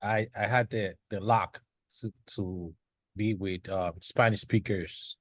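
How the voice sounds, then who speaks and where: A man from the Dominican Republic sharing his experience in a discussion, halting, with many restarts. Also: fairly low pitch, fairly even intonation, dry recording. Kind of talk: discussion contribution.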